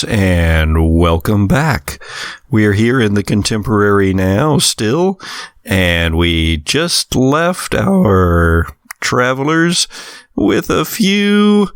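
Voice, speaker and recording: A man telling spooky stories as a host and game master, measured, with dramatic pauses and wry asides. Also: on the low side, no echo, clean studio sound. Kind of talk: narrated spooky anecdote and game narration.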